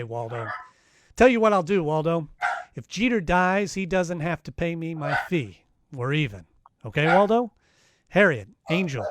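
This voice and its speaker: A man playing a sardonic, wisecracking private detective in the style of 1940s radio drama. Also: on the high side. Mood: dryly sardonic. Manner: sardonic, taunting, wry. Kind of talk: 1940s radio detective acting, live performance. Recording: noisy home live-stream recording.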